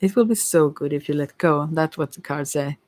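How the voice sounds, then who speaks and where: Tarot reader chatting thru the cards, mid pitch, halting and rambly, trips over words. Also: darkish tone. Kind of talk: informal tarot reading addressed to listeners.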